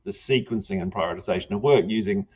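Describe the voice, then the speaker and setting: A man's voice: lowish voice, fast, a little mellow, husky, highs cut off. A man with a New Zealand accent, speaking thoughtfully as a panelist and searching for words. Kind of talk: panel discussion.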